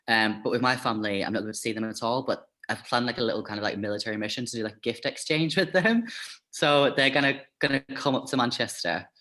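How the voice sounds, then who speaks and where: A man's voice: medium pitch, quick pace, sharp tone. A man chatting as an interview guest, talking casually with lots of fillers and "like".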